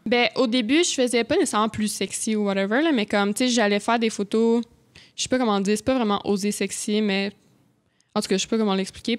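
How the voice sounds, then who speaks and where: A young woman with a Québécois French accent, chatting casually as a podcast guest and rambling with plenty of fillers. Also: medium pitch. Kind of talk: casual chat.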